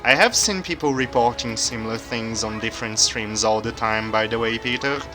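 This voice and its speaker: A soft-spoken man from Brazil, a native Portuguese speaker, chatting calmly while streaming a game. His accent is hard to place and often taken for German. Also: mid-range voice, normal speed. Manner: soft-spoken, quiet and calm. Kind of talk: casual live-stream chat while gaming. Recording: game music playing behind.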